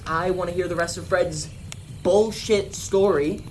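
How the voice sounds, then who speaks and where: Woman playing a low-voiced, impatient young guy. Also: steady tone, measured pace, close to an everyday voice, rough recording. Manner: blunt, impatient, dismissive. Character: grumpy, stubborn young guy among friends. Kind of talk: amateur radio play acting. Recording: clear background ambient noise.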